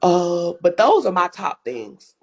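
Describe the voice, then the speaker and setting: Woman with a strong lowish voice, earnest, keeps repeating her point, casual livestream chat. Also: big pitch swings, slow pace, darkish tone, breathy edge. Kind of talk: casual advice-giving chat.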